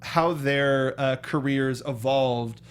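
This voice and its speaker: A man co-hosting a film review show, chatting casually and haltingly, often restarting his sentences. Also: mid-range voice, smooth. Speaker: co-host of a movie review show. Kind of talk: casual conversational film discussion.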